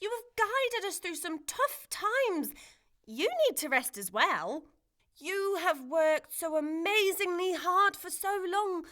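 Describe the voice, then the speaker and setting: A woman's voice: very high voice, animated, takes it slowly, fairly bright tone, exaggerated voice. A woman playing a brave, kind-hearted young girl in a family audio drama, earnest and hopeful. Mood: caring.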